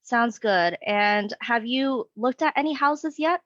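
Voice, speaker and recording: A woman's voice: on the high side, slow, a bit bright, no room sound. A young woman interviewing for a sales job, playing a real estate agent in an upbeat, friendly way with many okays. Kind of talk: mock sales interview role play. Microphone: video call. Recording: dull lo-fi sound, dry.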